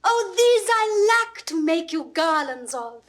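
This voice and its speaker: A woman playing a gentle, ardent young shepherdess in classical Shakespearean verse, with a British RP accent and lyrical, carefully enunciated delivery. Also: extremely high pitch, very animated, unhurried, heavily acted. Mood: lyrical, wistful. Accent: British Received Pronunciation.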